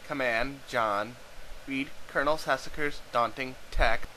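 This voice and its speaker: A man playing a solemn game command announcer, speaking slowly and deliberately on a noisy amateur recording. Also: mid-range voice, sharp tone. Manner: slow, deliberate, declarative.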